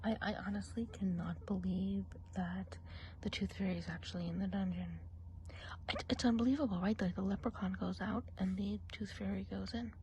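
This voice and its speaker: A woman playing a friendly, gossipy witch of the woods in a hushed, chatty ASMR role play, in a soft breathy whisper. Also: mid-range voice, fairly even intonation, normal speed, a little hiss or hum, lightly acted. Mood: gossipy, confiding.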